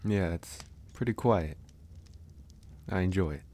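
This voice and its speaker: A man playing a gentle, reassuring young werewolf who lives alone on a mountain, soft-spoken in an ASMR roleplay. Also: sits low, a little slow, a little hiss or hum. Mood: relaxed, friendly.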